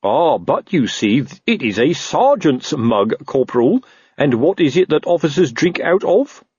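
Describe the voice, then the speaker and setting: Fat old officious captain, a man acting, husky and snappish, swooping with self-importance, for a comic fantasy audiobook. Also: on the high side, sing-song, a little slow, darkish tone, no room sound, dull audio, pitched above the performer's own voice, exaggerated voice. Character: fat, old, pompous, paranoid, bullying police captain.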